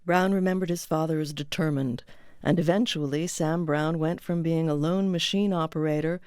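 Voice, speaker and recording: A woman's voice: low voice, steady tone, moderate pace, a little mellow, clean tone, no room sound, clean studio sound. A woman reading scripted documentary narration as the host of an audio series. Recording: music under the voice.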